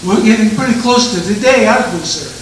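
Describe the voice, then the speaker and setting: An actor playing an eager, naive junior angel, anxious and deferential, in 1940s radio style. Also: male voice, high-pitched, lively intonation, fast. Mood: anxious curiosity. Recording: echoey stage, distant mic, loud noise.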